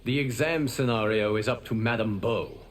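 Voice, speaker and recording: A man's voice: medium pitch, normal speed, close to an everyday voice. An actor playing a composed, dignified male mentor in a video game. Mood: solemn. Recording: loud game noise behind.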